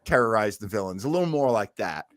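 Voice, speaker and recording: A man's voice: lowish voice, lively intonation, quick pace, no room sound. Male podcast host, enthusiastic rambling storyteller, casual delivery, dry close recording. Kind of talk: casual chat about old time radio.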